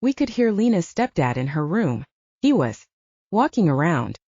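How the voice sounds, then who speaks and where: A woman's voice: on the low side, very animated, normal speed, dark tone, breathy edge, dry recording, muffled recording. A woman reading scripted audiobook narration with dramatic storytelling delivery.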